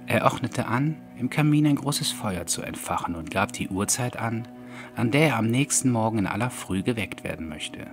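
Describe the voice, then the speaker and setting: A man narrating a scripted horror audiobook in a measured, deliberate storytelling manner. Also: medium pitch, lively intonation. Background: soft background music.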